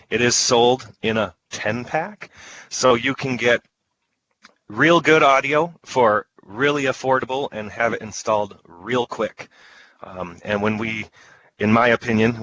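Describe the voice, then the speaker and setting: Guy doing a product webinar, forceful bright voice, kinda low, chatty salesy, dull audio. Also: slight background noise. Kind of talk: informal slide presentation. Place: online presentation from a computer.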